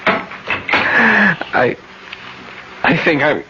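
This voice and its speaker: An actor playing a shaken, grieving young man in a radio drama, halting and faint. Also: fairly high pitch, expressive. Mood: faint, distraught. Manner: halting, faltering. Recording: dull, noisy old radio broadcast.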